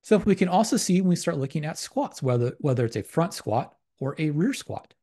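A man's voice: a little high, animated, fairly quick, dark tone. A man giving a methodical, academic slide lecture on exercise science, restating terms as he goes. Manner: methodical, repetitive academic phrasing.

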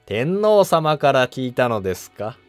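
A man's voice: mid-range voice, sing-song, measured pace, soft background music. A man voicing a shrewd Buddhist monk adviser who asks polite, insinuating questions in a historical drama animation. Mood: shrewd, probing.

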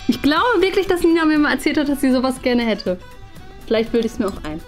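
A woman in her thirties with a high voice hosts a challenge in a casual, chatty way. Some music runs faintly behind her. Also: a little fast. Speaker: host of a painting challenge. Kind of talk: casual chat. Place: indoor children's room. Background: background music.

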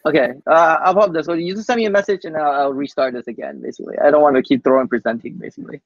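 A man giving a casual live software demo on a team call, speaking haltingly and often tacking "basically" onto sentences. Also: a little high, fairly even intonation, no room sound. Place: coworking space, on a group video call. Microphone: video call microphone. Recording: muffled video call audio.

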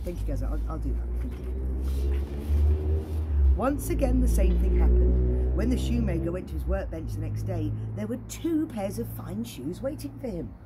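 A woman, around her forties, reading a children's story in a playful, expressive way, with chatty asides like a children's entertainer. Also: low-pitched, very noisy. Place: outdoor garden.

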